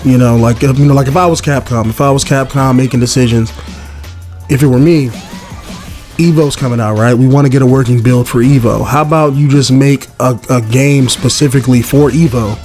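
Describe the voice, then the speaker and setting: Hoarse-voiced man rambling about a game. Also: mid-range voice, fairly even intonation, fairly quick, a little mellow, soft background music. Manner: rambling, lots of fillers and restarts. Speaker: gaming video host. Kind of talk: casual gaming commentary.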